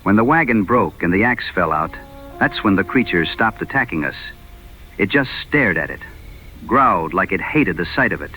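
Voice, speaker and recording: A male actor as a rattled, injured wagon guard in a western audio drama. The voice is fairly low and strained bright, with halting rustic phrasing. Soft music runs beneath. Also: animated, poor audio. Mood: uneasy. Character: shaken, wounded rustic wagon escort.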